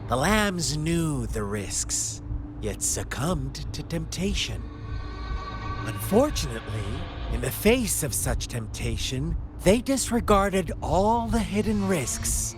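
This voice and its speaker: An actor playing a mocking male villain who tells a dark parable in a game, theatrical and taunting. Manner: theatrical, slow and taunting, wild pitch swings. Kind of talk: game cutscene voice acting. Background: game score music.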